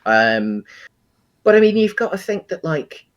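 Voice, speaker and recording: Man with halting, thoughtful film chat. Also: high voice, very animated, highs cut off. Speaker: co-host of a video game film discussion show. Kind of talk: casual film discussion.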